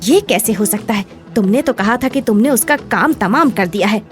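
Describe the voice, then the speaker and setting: A woman's voice: on the high side, animated, moderate pace, faint music behind, natural voice. A woman voicing a scheming, spiteful village eatery owner who scolds scornfully in a dramatized moral story. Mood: suspicious scolding.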